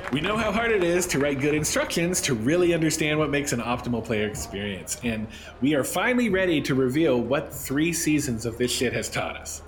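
A man playing an excitable, casual booth presenter at a game convention. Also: fairly high pitch. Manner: animated, casual. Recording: music and crowd noise behind.

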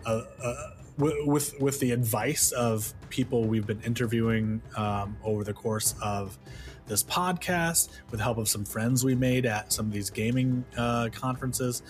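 Casual male podcast host, medium pitch. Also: measured pace, faint music behind. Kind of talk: casual announcement.

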